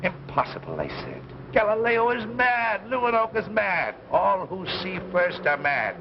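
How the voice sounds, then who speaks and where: An actor playing an obsessed, desperate professor in theatrical, insistent 1950s science fiction drama style. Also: male voice, high voice, wildly swooping pitch, measured pace, full character voice. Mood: defiant. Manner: theatrical, impassioned, insistent rhetoric. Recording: dull, noisy 1950s broadcast recording.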